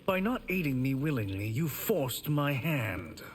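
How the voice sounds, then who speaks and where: An actor playing a smug, scheming sorcerer villain in a video game, measured and mocking. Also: male voice, medium pitch, big pitch swings, slight background noise. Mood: smug, amused.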